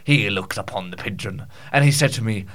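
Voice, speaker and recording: A man's voice: lowish voice, brisk, a bit bright, pitched below the performer's own voice, close to an everyday voice. A man improvising a comic monologue as a pompous, rambling Scottish storyteller, with archaic phrasing, stumbles and repeats. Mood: grandiose, rambling.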